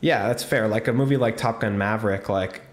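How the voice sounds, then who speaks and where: A man's voice: lowish voice, brisk, roomy sound. A man co-hosting a casual movie review podcast, chatting with enthusiasm. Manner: chatty, enthusiastic, lists cast members. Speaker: podcast co-host.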